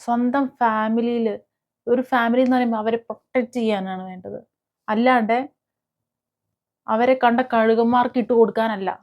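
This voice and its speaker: A woman with a fairly high voice gives casual, opinionated commentary as the host of an online video. She speaks haltingly, with small hums between phrases and pointed rhetorical questions. The sound is somewhat dull and lo-fi. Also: steady tone.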